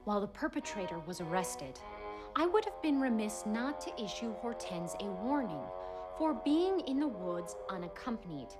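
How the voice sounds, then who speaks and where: A woman's voice: medium pitch, very animated, moderate pace, music in the background, natural voice. An actress playing an earnest, prim young 1920s policewoman who speaks formally. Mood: officious. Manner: earnest, flustered, formal wording.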